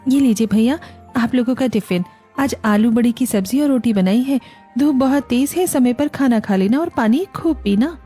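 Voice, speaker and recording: A woman playing a gentle, devoted young daughter-in-law. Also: fairly high pitch, moderate pace, slightly rough, soft background music. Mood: caring, reassuring. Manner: reassuring, respectful. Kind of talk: Hindi cartoon family story acting.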